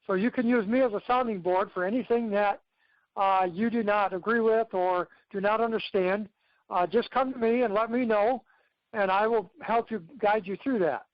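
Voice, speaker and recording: A man's voice: sits high, fairly even intonation, normal speed, dry recording. A man speaking as a success coach, giving an instructive motivational training talk to his team on a conference call and often checking in with "okay". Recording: dull lo-fi conference call audio.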